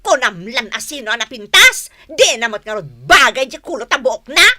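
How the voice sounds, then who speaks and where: A woman playing a quarrelsome, easily offended wife and mother, loud and scolding, in a Philippine radio comedy-drama. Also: fairly high pitch, big pitch swings, moderate pace, a little hiss or hum, full character voice. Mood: indignant, scolding.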